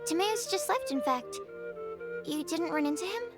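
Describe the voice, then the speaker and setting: A woman's voice: very high voice, lively intonation, moderate pace. A woman playing an earnest, inquisitive young researcher in a video game, speaking politely with a bookish, slightly hesitant manner. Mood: thoughtful. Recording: music behind the voice.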